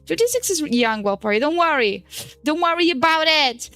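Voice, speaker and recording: A woman's voice: high-pitched, big pitch swings, normal speed, pushed and bright, no echo, soft background music. A woman in her twenties, a native Portuguese speaker, chatting casually on a stream while she draws, swearing and laughing often. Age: 20s. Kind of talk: casual chat while drawing.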